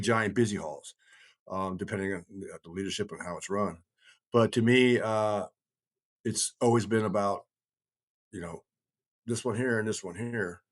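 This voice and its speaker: Middle-aged man, lowish voice, conversational podcast talk; wanders through his points and repeats words to press them home. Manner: rambling, emphatic, repeats phrases for stress.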